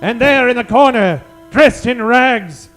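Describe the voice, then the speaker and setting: Guy narrating old timey radio comedy, super high voice, theatrical, bit of music behind. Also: lively intonation, a little slow, fairly bright tone. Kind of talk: comic old-time radio serial narration.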